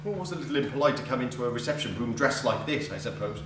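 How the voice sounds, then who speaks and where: A man's voice: mid-range voice, lively intonation, fast, background noise, lightly acted. A man acting a boorish, hot-tempered bill collector who scorns women, in a blustering, theatrical stage comedy style. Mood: furious. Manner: blustering, ranting, mockingly theatrical. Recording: very echoey room, distant mic.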